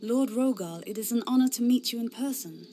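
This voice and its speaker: A zealous young female inquisitor, played by a woman, earnest and formal in every line. Her tone is mellow and soft-edged rather than bright. It sounds like game cutscene voice acting. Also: on the high side, brisk, a little hiss or hum, natural voice. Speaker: narrator and game character.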